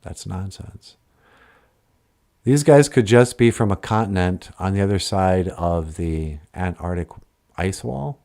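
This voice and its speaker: Man, deep voice, slow drawl, live stream chat, meandering, restarts and self-corrections. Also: vocal fry, warm tone. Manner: meandering, trailing off and correcting himself. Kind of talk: rambling conspiracy-themed livestream chat.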